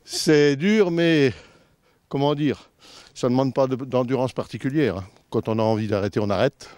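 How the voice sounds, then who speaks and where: A man's voice: mid-range voice, normal speed, darkish tone, no room sound. A man from the Vosges in France, a volunteer answering questions outdoors in a plain-spoken way with short answers. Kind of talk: casual interview answers. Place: outdoors in a forest.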